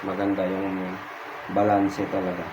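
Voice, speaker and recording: Low-voiced Filipino man over loud noise. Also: completely flat delivery, measured pace. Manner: informal, repeats phrases as he demonstrates. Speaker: vlogger giving a hands-on tutorial. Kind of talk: casual hands-on how-to chat. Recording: very noisy background ambience.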